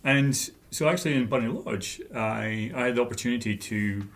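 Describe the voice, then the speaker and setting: A man's voice: medium pitch, moderate pace, fairly bright tone. A Scottish man in his fifties, a guest author chatting casually and telling anecdotes with easy laughs. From Scotland. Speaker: guest author and leadership trainer. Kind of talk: casual interview conversation.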